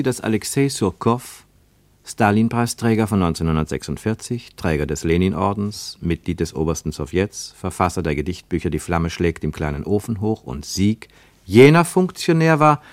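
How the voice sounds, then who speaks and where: An actor narrating a literary radio play in a measured, precise, gently ironic manner. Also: male voice, low-pitched, dry recording. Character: literary narrator of a Cold War journey, wry, measured.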